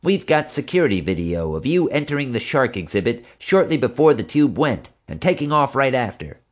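A man's voice: mid-range voice, sing-song, fairly quick, voice pushed up, natural voice. A man voicing a snappish female police officer who snarls her words curtly. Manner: snarling, curt.

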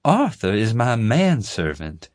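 A man's voice: on the low side, wildly swooping pitch, darkish tone, no echo, clean studio sound, pitched above the performer's own voice, exaggerated voice. A man putting on an elderly honeyed Southern lady, Flora. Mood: gracious. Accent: Southern US drawl. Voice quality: slow honeyed drawl.

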